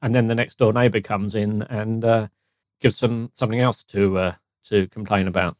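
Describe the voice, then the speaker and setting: A man co-hosting a podcast, reviewing an old radio comedy in a measured, explanatory way. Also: on the low side, steady tone, darkish tone, no room sound, studio quality. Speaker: podcast co-host. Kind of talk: casual review chat.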